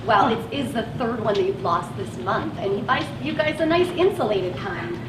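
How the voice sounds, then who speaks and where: A woman's voice: on the high side, expressive, moderate pace, close to an everyday voice. Actress as patient woman driver, echoey stage. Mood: reassuring. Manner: reassuring, patient, conversational. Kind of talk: live one-act stage play dialogue. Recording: echoey stage far off, noisy audience.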